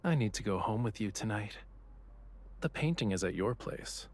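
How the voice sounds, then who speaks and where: A man's voice: on the low side, lively intonation, moderate pace. An actor playing a casually indifferent, teasing young male artist in a video game. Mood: calm, assured. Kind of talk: English video game voice acting.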